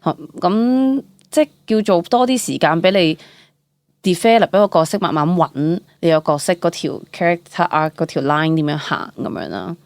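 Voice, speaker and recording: Young woman, Hong Kong accent, low register, dry close recording; animated interview guest who drops in English words. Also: moderate pace, no room sound. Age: young adult. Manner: animated, laughs easily, mixes English words into speech. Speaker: guest, freelance stage and screen actor. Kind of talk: casual interview chat.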